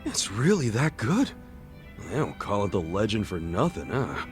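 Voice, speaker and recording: An actor playing an easygoing, level-headed buddy in a video game cutscene, speaking casually and earnestly. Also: male voice, lowish voice, big pitch swings, a little slow, faint music behind. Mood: incredulous. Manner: casual, incredulous, reassuring.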